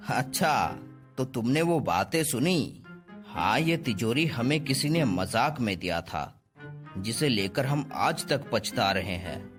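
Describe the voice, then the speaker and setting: Male actor as exasperated middle-aged dad in a children's animation. Slow, expressive delivery, prominent music underneath. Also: medium pitch. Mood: rueful explaining. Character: busy, exasperated middle-aged father in a cartoon fable. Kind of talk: animated children's story voice acting.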